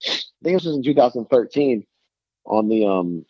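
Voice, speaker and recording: A man's voice: medium pitch, expressive, moderate pace, dark tone, dry recording, muffled recording. A man from Tennessee with a thick Southern accent, telling rambling, self-mocking stories as a guest on the phone from his home by a lake. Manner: rambling, self-mocking storyteller with many restarts. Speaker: guest musician. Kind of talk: casual phone interview storytelling.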